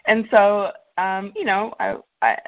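A woman raised in New Zealand, a guest in an interview, speaking reflectively with frequent ums. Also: medium pitch. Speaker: guest, winery co-founder. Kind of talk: casual interview conversation. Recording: tinny phone line.

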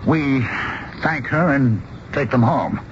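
A man's voice: mid-range voice, slow, natural voice. An actor playing a bewildered, soothing middle-aged father, earnest and hesitant, in a 1970s radio drama. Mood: soothing, resolute. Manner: hesitant, repeating himself, earnest. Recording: muffled, noisy old radio broadcast.

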